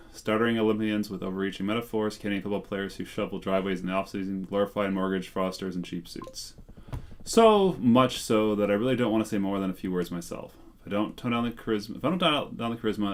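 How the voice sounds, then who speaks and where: A man's voice: on the low side, close to an everyday voice. A man reading the lines of a cynical, crude middle-aged man in a game, sarcastic and dismissive, faint music behind.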